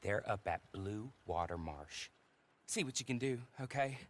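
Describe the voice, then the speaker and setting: An actor playing a genteel, sardonic frontier stagecoach agent with formal, wry, mannered speech in a video game cutscene. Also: male voice, on the low side, animated, measured pace, fairly bright tone. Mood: wry courteous.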